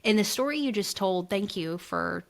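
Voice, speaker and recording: A woman's voice: mid-range voice, expressive, moderate pace, gravelly. A woman hosting a casual interview over a video call, speaking in an effusive, emphatic, slangy way. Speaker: podcast host interviewing a guest.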